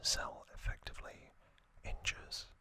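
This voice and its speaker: A man narrating an ASMR story recap in a breathy, unvoiced whisper, in short deliberate phrases with pauses between them. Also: sharp tone, dry recording. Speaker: ASMR host.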